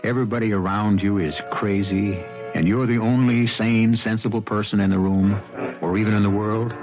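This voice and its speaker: Male radio drama host, slightly low voice, wry musing narration, dull broadcast sound, prominent music. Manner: wry, musing rhetorical questions. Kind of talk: scripted narration to listeners.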